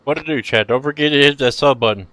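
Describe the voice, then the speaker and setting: A middle-aged man streaming casually, chatting with viewers in a laid-back, rambling way and letting phrases trail off quietly. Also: mid-range voice, fast, no echo, poor audio. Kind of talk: casual live-stream chat.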